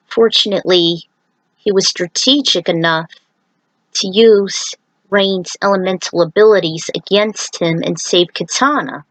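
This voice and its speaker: A woman reads a story aloud at a slow pace. She slips between narration and casual remarks to her listeners. The sound is dry, with little room in it. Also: mid-range voice, no room sound, poor audio. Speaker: narrator reading own fan fiction. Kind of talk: story reading with casual asides.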